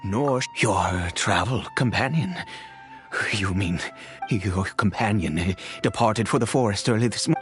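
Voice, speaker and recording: A woman's voice: extremely low pitch, unhurried, soft background music. A woman voicing a stern, suspicious, protective guardian in a video game, haughty and commanding. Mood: stern, challenging. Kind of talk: dramatic video game voice acting.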